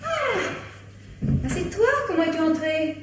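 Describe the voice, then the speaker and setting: Scornful, exasperated young wife. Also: female voice, very high-pitched, sing-song, slow, background noise, heavily acted. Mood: defensive, irritated. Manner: theatrical, reproachful. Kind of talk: French radio mystery drama acting.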